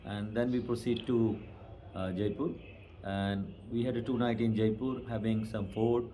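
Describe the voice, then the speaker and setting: A man's voice: on the low side, steady tone, moderate pace, warm tone, a little hiss or hum, low-quality recording. An Indian man, a tour driver, plainly recounting a trip route in an interview. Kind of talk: casual interview.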